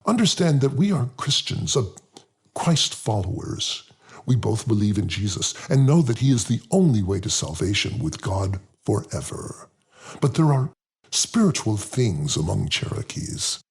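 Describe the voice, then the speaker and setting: A man voicing a solemn, welcoming elderly man in an audiobook, speaking deliberately in a raspy, gravelly voice. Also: on the low side, big pitch swings, exaggerated voice. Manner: deliberate, measured. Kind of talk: audiobook character voice.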